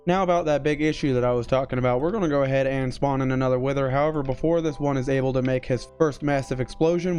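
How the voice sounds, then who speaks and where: Young male streamer, mid-pitched, a bit worn from illness, explains builds step by step over faint background music. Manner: explanatory, steady tutorial delivery. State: recovering from illness, voice strained. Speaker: game streamer. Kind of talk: gameplay walkthrough narration. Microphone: headset mic.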